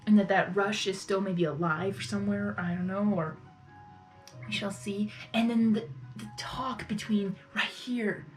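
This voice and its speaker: A woman's voice: mid-range voice, steady tone, a little slow, faint music behind. A woman giving casual live reaction commentary as a video host, effusive and rambling, often repeating her phrases.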